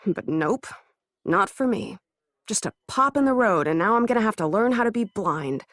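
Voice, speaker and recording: A woman's voice: mid-range voice, normal speed, no room sound, clean studio sound, lightly acted. An actress playing a frightened young woman who has just gone blind, speaking her thoughts in whispers. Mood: bitter. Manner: inner monologue, whispering, panicky self-calming. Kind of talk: audio drama acting.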